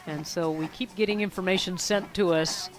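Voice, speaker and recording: A woman's voice: fairly low pitch, steady tone, normal speed, rough recording. A woman doing live sports commentary in a tentative way, thinking aloud as she goes. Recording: live sports broadcast with music behind.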